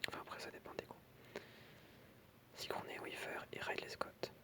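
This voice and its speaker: An ASMR creator whispering in French, reading aloud softly with informal, hesitant asides. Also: normal speed.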